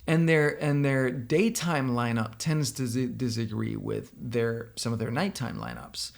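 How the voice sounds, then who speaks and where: Danish guy w/ a bit of gravel in his voice, opinionated, talks right at you about a blog post. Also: mid-range voice, expressive, measured pace. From Denmark. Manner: opinionated, talking straight to the viewer. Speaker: software company founder giving political commentary. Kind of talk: casual commentary responding to a blog post.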